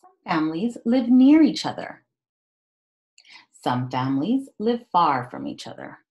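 Low-pitched woman with lively, swinging intonation. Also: measured pace. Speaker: teacher reading aloud to children. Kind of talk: children's picture book read-aloud and talk to young pupils. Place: small indoor room at home.

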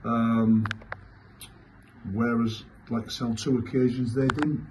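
A man, answering as a football manager after a match in halting, repetitive, emphatic phrases. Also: medium pitch, level tone, a little mellow. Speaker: football manager giving a post-match interview. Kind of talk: post-match interview answers. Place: small indoor club press room. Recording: clearly noisy room ambience.

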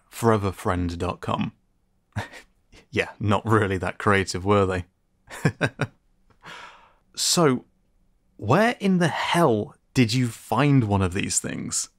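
Sci-fi repairman, male actor: easygoing joker, slightly low voice, conversational roleplay delivery. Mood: casual, amused. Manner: casual, joking, chuckling. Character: teasing, friendly male sci-fi tinkerer and repairman.

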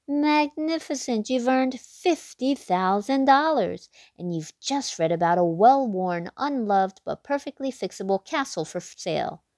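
A woman reads a children's picture book aloud in a lively storybook manner, her voice jumping up with excitement at the big moments. Her voice sits in a middle register. The sound is close and dry, with no room echo. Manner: expressive storybook reading with big excited pitch jumps. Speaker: read-aloud host.

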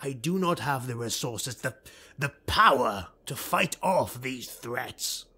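A man narrating an audiobook, voicing a ruthless, driven male dark elf lord in an urgent, commanding, clipped way. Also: mid-range voice, expressive, takes it slowly, slightly rough, exaggerated voice. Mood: pressing.

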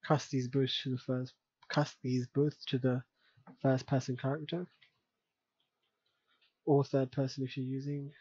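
A man giving an unscripted software tutorial in a casual way, thinking aloud step by step as he works. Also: medium pitch, little pitch movement, unhurried, pauses often, breathy edge, no echo, highs cut off. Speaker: tutorial presenter. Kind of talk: unscripted screen-recorded software tutorial narration.

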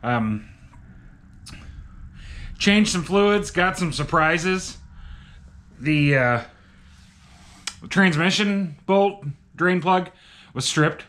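Middle aged guy doing a casual review, bright voice, roomy garage echo. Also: high voice, animated, very slow, long pauses. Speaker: vlogger. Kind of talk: casual product review chat. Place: cluttered home garage.

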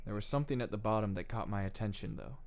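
A man reading first-person scripted audiobook narration in a low-key manner. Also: fast talker, a little hiss or hum.

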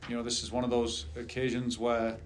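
A middle-aged man speaking as a head soccer coach at a post-game press conference, measured and steady. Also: mid-range voice. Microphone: table mic on a stand.

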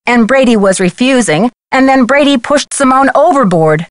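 Young female sleuth, earnest, inquisitive, articulate, fairly high pitch, dry video game dialogue. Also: lively intonation, moderate pace, no room sound. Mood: earnest, curious.

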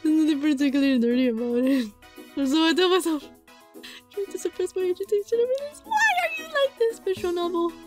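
Animated high-voiced woman reading a game. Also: fast, soft background music. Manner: animated, giggly, playfully exasperated, stumbles over reading. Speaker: let's player reading a visual novel aloud. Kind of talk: dramatic read-aloud mixed with casual reactions.

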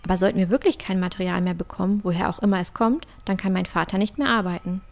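A woman's voice: lowish voice, steady tone, quick pace, creaky. A woman playing a cheeky, adventurous, optimistic girl in a fantasy audio drama. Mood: matter-of-fact.

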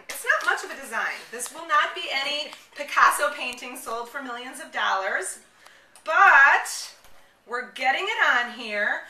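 A woman with a high, piercingly bright voice gives an enthusiastic home demonstration. She is chatty and casual, like a mom vlogger. The sound is roomy and very distant from the microphone. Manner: enthusiastic, animated, chatty with children. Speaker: mom blogger presenter. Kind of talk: casual product demonstration vlog. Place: home kitchen, indoors. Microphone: camera a few steps away.

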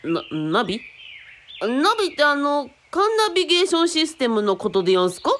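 A woman's voice: sits high, big pitch swings, brisk, a bit bright. A woman playing a boastful, eccentric rich guru in a radio drama, pompous and giggly, with mock traditional-storyteller phrasing. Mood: smug.

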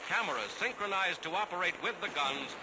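A man's voice: high voice, animated, brisk, noisy background. A man with an American accent reads scripted 1940s newsreel narration in a declamatory, dramatic announcer's manner on a vintage soundtrack. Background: loud music.

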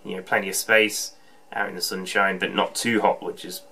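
A man's voice: low-pitched, flat delivery, normal speed, pushed and bright, husky. A man in his thirties chatting casually to camera in a small room, rambling with plenty of ums and restarts. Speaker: homebrew vlogger. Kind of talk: casual vlog chat. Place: small indoor room with shelves. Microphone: camera on desk, close framing.

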